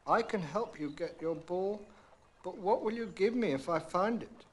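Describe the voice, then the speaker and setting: A man voicing a polite, earnest talking frog from a children's storybook, with formal, coaxing and deliberate phrasing. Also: sits high, breathy edge, pitched above the performer's own voice. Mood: reassuring, bargaining.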